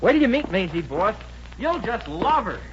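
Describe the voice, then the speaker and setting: An actor playing an earnest, excitable young fiancé in a 1950s radio comedy, with a boyish manner. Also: male voice, high-pitched, normal speed. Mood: eager. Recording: dull, noisy old radio recording.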